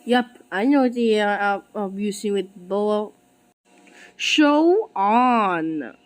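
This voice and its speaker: A woman voicing an excitable, easily angered cartoon villain with exclamatory, dramatic delivery in an amateur animation. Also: mid-range voice, drawn-out words, clear, resonant tone, rough recording. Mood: scheming.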